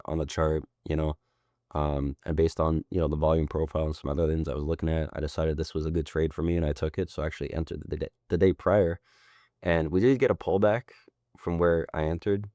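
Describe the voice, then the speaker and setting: A man working as a trading mentor, hoarse from being sick, walking through a chart in a loose, rambling way with frequent tag questions. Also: very deep voice, darkish tone, no room sound, clean studio sound. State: hoarse, sick and losing his voice. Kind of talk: casual chart walkthrough.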